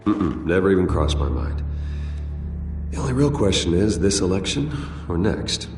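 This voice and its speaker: A man playing a loyal, confident rich friend in a video game, sincere and steady. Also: low-pitched, normal speed. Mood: warmly sincere. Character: calm, confident, loyal wealthy male friend. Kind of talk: dramatic video game acting. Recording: distant, echoey room, faint music.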